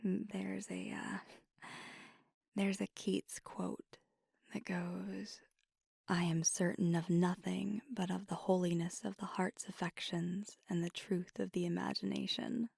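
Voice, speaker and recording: A woman performs a tender, nervous vampire girlfriend in an ASMR roleplay. Her voice is very breathy and mostly whispered, with heavy creak at the edges. She confides slowly and haltingly, very close to the microphone. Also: lowish voice, little pitch movement, a little mellow, dry recording, exaggerated voice. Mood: anxious, confiding. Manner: hesitant, halting, earnest confiding. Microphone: close, dry microphone.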